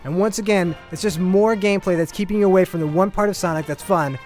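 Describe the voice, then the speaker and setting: A man's voice: sits high, quick pace, a little mellow. A man reading a scripted video game review with sarcastic, exaggerated complaint. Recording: music behind the voice. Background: background music.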